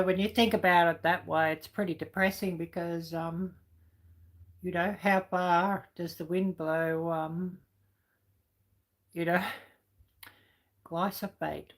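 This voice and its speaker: A woman in middle age chats away on her livestream, her voice croaky and a touch low. She rambles, filling pauses with ums and you knows, as she answers her viewers. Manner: rambling with many fillers, chatty. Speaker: livestream host reading chat. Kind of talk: casual chat.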